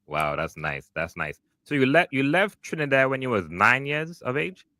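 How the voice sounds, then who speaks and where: A man's voice: medium pitch, big pitch swings, moderate pace, sharp tone, dry recording, clean studio sound. A man hosting a podcast interview, chatting casually and enthusiastically, often repeating himself. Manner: enthusiastic, repeats words like "wow" and "okay". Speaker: podcast host.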